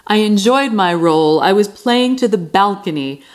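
An actress playing a deranged, proud, fastidious housekeeper in a gothic radio drama, theatrical and insistent. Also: female voice, medium pitch, animated, close to an everyday voice. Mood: proud, confident. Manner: theatrical, insistent, unravelling into agitation. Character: deranged, proud, fastidious housekeeper who has killed an old man. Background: music under the voice.

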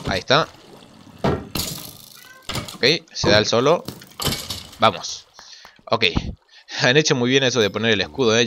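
Man from Argentina, lowish voice, casual gaming commentary, thinking aloud, noisy recording with clicks. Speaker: gaming video creator. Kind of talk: casual let's play commentary. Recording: muffled lo-fi mic, clear noise and clicks.

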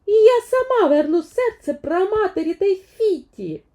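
A woman's voice: extremely high pitch, expressive, a little slow, dark tone, voice pushed up. A woman voicing a brave, determined teenage island girl in an emphatic, earnest way for a children's fairy tale. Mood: proud, resolute.

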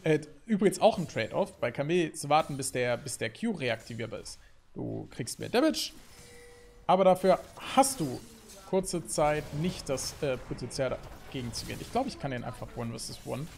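German guy talking over his match, bit high voice, jumps up and down a lot, quick fillers, home desk mic. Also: vocal fry. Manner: lively, excitable, talks through play with fillers. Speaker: gaming YouTuber. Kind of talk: gameplay commentary. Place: home streaming setup, indoors.

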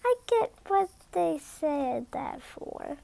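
A young girl with a high voice reads a storybook aloud. She goes slowly and carefully, leaning on words for expression. Now and then she stumbles like a new reader. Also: vocal fry. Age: child. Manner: careful beginner reading with stumbles and expressive emphasis. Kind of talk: storybook read-aloud with spontaneous asides.

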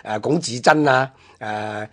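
A man's voice: mid-range voice, takes it slowly. A man with a Hong Kong Cantonese accent explaining things conversationally, as a commentator on a radio history programme.

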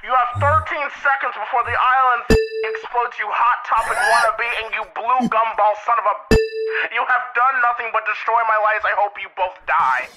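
A man's voice: extremely high pitch, moderate pace, over loud music, low-quality recording. A man voicing a ranting, melodramatic middle-aged mad scientist villain in a comedic game fandub, bombastic and theatrically whiny. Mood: menacing. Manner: bombastic, shouty, theatrical whining.

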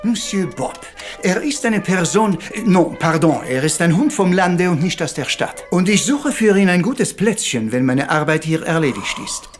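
Little detective, highish voice, polite and theatrical, music underneath, old crime radio play. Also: male voice, lively intonation. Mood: gentle, courteous. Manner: courteous, deliberate, peppering speech with French words. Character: polite, theatrical little Belgian detective, patient and self-assured.

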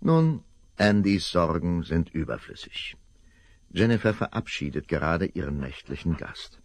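A man narrates an old children's radio play in a very deep voice that still moves lively through its pitch. The sound is close and dry, measured and expressive. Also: no echo.

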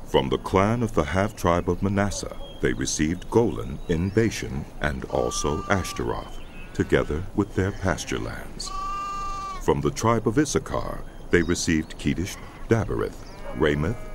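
A man reading scripture as an audiobook narrator, in a solemn, measured delivery over background music. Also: a bass voice, gravelly, background noise.

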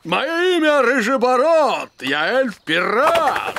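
An actor playing a boisterous, courteous elf pirate in a cartoon, with theatrical, drawn-out exclamations. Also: male voice, extremely high pitch, big pitch swings, pushed and bright, faint music behind, heavily acted. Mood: gallant. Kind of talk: cartoon dubbing.

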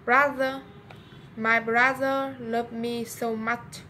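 Slow young Vietnamese woman practicing English. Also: a little high, flat delivery, pushed and bright, slight background noise. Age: young adult. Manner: slow, word-by-word practice with stumbles. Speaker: language student. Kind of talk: reading pronunciation homework aloud. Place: small bathroom, indoors. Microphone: webcam or phone at arm's length.

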